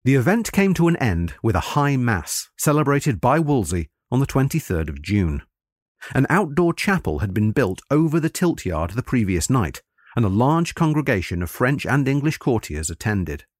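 Man narrating history with lively, varied intonation. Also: mid-range voice, moderate pace, no room sound, studio quality. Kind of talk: scripted narration of a history account.

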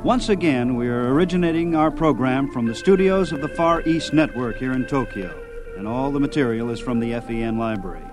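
A man's voice: mid-range voice, lively intonation, fairly quick, few pauses, over loud music, poor audio. A man hosting a radio program, reading scripted narration that introduces clips from old shows.

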